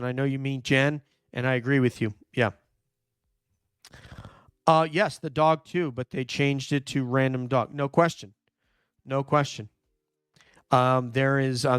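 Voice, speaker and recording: Hoarse man hosting a true crime stream. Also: medium pitch, no echo, studio quality. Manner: emphatic, repeats "no question", thinks aloud with fillers. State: hoarse, nearly losing voice. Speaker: true crime livestream host. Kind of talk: live commentary reading viewer chat.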